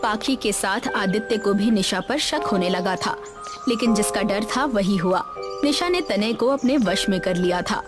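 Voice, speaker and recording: A woman's voice: mid-range voice, measured pace. A woman reading a scripted children's story in a narrator's role. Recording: music behind the voice. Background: background music.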